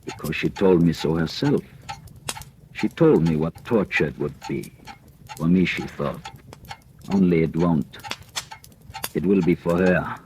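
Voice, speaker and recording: An actor in 1940s radio drama voicing a shot, dying husband who narrates his fate in a grim, measured way. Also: sits low, a little mellow. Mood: resigned. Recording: noisy old radio broadcast.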